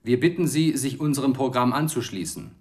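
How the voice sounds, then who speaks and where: An actor playing a formal radio announcer in a radio drama, proclaiming an official broadcast notice. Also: male voice, medium pitch, fairly quick, darkish tone, natural voice. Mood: urgent, official.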